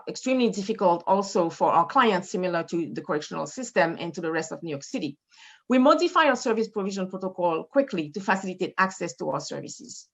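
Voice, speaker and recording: A woman with a French Haitian accent, a medical director giving a measured, formal conference presentation over a video call. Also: lowish voice, highs cut off. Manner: measured, formal, reading data with occasional fillers. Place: in front of a brick wall indoors.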